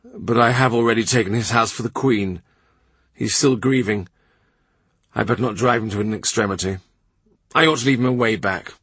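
A man's voice: on the low side, moderate pace, long pauses, a bit bright, breathy edge, dry recording. A man voicing a shrewd middle-aged royal minister who talks in a dry, cutting, sardonic way. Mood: cool, sardonic. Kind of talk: audiobook character reading.

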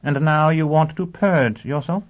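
A man voicing a brisk, skeptical, sharp-tongued lawyer in an audiobook, firing off clipped, incredulous questions. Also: a little high, voice pushed up, close to an everyday voice. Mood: keen. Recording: narrow phone-like sound.

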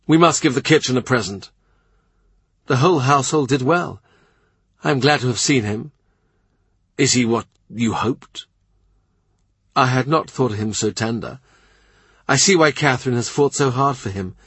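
A man's voice: mid-range voice, unhurried, pauses often, fairly bright tone, breathy edge, dry recording. A man voicing a bold young woman who talks in a confiding, teasing way, in a storybook reading.

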